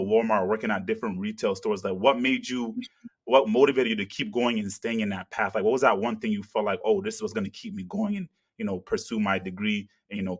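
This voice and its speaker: A man's voice: on the low side, breathy edge. A man from Africa hosting an interview, asking questions earnestly with many "you know" and "kinda". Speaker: interviewer, channel host.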